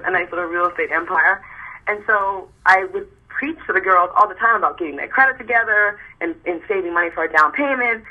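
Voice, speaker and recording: A young woman with a raspy voice, tired from little sleep, chatting as an interview guest and rambling with many restarts. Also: on the low side, highs cut off. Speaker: interview guest, model and pageant host. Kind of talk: casual chat.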